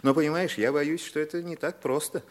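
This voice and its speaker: An actor playing a wry, kindly schoolteacher mentor, measured and gently ironic, in a 1950s radio drama. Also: male voice, a little high, fairly even intonation, lightly acted. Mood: gently teasing. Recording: vintage 1950s radio play recording.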